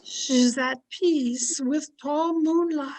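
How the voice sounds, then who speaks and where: A high female voice from an actress plays a devoted woman of the household in an old-time radio drama. She is solemn and grieving, very measured in pace, gently in command. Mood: solemn grief. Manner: solemn, deliberate.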